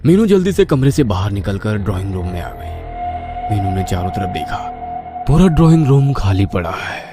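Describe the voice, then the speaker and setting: A man's voice: on the low side, big pitch swings, normal speed. A man narrating a scripted horror story in a dramatic storytelling style. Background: clear background music.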